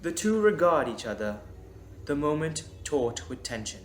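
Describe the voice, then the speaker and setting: A man reading scripted dramatic narration in a deliberate, suspenseful manner. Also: a little high, very animated. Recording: echoey, music underneath. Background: music.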